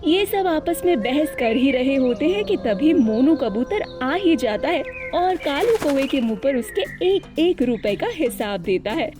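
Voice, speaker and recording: A woman's voice: high-pitched, lively intonation, measured pace, hardly any pauses. A woman reading scripted narration of a children's moral story. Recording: music behind the voice. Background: music.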